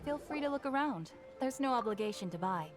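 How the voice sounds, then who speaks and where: A woman's voice: on the high side, expressive, fairly quick, over music, natural voice. A woman playing a matter-of-fact female bookshop owner in a game. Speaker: game character.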